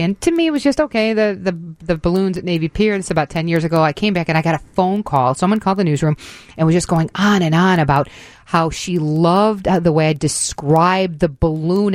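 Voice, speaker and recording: Lowish-voiced chatty woman hosting radio. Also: no room sound, clean studio sound. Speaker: radio host. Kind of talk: casual radio interview. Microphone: close studio radio mic.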